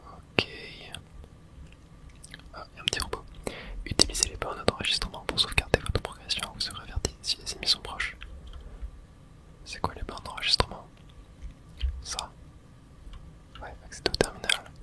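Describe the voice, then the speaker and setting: A person whispering a breathy, unvoiced ASMR running commentary while playing a video game, in a soft, hesitant murmur. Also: normal speed, long pauses. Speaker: gaming video host.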